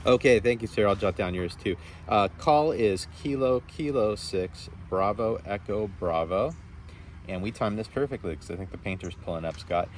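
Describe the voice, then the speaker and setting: Deep-voiced man chatting on amateur radio. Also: moderate pace, a little hiss or hum. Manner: rambling, appreciative, friendly. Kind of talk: casual two-way radio chat.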